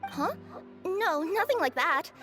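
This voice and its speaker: A woman's voice: very high-pitched, sing-song, slow pace, music in the background, heavily acted. A woman voicing an earnest, flustered young courier in an anime video game, perky and sheepish. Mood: evasive, reluctant. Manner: hesitant, sheepish, exclaiming.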